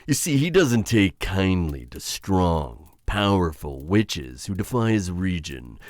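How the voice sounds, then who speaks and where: Man playing a deep-voiced, menacing witch hunter. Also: very animated, dry recording, studio quality, exaggerated voice. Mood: smug, musing. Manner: slow, halting, intimate taunting address to listener. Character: menacing male witch hunter, taunting his chained captive, conflicted. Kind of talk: ASMR roleplay acting.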